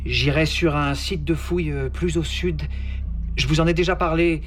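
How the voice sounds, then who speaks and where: A man's voice: on the high side, fairly even intonation, moderate pace, faint music behind, natural voice. An actor playing a furtive, eager young man placating his boss in an audio drama.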